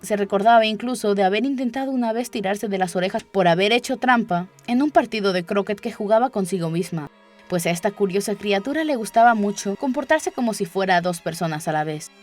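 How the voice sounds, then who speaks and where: A woman's voice: mid-range voice, fairly quick. A woman reading a children's story aloud as scripted audiobook narration. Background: background music.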